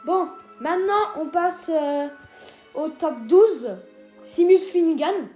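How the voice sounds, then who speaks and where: A woman's voice: very high voice, lively intonation, takes it slowly, warm tone, faint music behind. A woman co-hosting a casual ranking video, teasing and joking with lots of hesitant fillers. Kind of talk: casual scripted chat.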